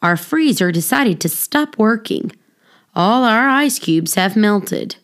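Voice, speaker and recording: A woman's voice: mid-range voice, expressive, slow, clean tone. A woman voicing a kindly grown man in a children's storybook, speaking patiently and reassuringly. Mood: apologetic. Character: kindly, reassuring grown man looking after a pet monkey.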